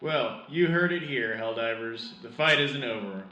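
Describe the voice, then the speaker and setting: A man's voice: mid-range voice, a little slow, bright, forceful tone, reverberant, low-quality recording. A man playing an earnest, patriotic host of a sci-fi wartime radio news show, with a formal announcer delivery. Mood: rousing, earnest. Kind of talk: scripted wartime radio broadcast.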